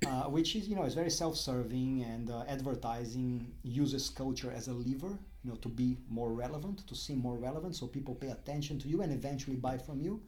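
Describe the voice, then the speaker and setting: Halting Brazilian-accented man. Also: medium pitch, darkish tone. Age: middle-aged. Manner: halting, many restarts and fillers. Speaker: interview guest. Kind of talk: casual interview talk.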